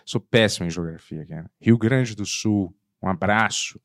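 A man's voice: fairly low pitch, normal speed, creaky, dry recording, clean studio sound. A man co-hosting a live podcast, chatting and teasing in a playful joking way. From Portugal. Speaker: podcast co-host. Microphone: studio microphone.

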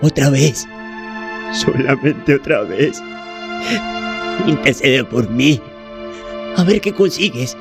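Old male peasant, fearful, begging, stammering, slow-paced audio drama, music underneath. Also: medium pitch, animated, creaky. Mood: pleading. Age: older. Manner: hesitant, stammering, slow pleading. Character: frightened, pleading old peasant man, condemned captive.

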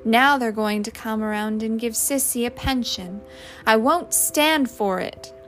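A woman's voice: on the high side, fairly even intonation, normal speed, fairly bright tone, soft background music, close to an everyday voice. A woman voicing an indignant, blustering working-class husband in an audiobook story, with slangy, emphatic speech. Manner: uneducated slangy speech, punctuates with "see?".

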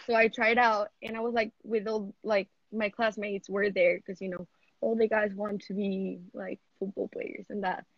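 A woman's voice: mid-range voice, little pitch movement, normal speed, breathy edge, no room sound, dull audio. A seventeen-year-old woman from Mexico, an athlete, chatting casually in an interview over a video call and saying "like" a lot. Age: teens. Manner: chatty, heavy use of "like".

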